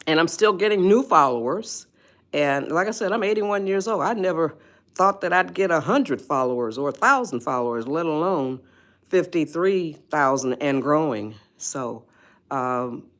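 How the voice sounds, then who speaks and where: A woman of eighty-one, hosting a casual live stream, chatty and rambling, often laughing and greeting viewers. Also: on the low side. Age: 80s. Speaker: livestream host.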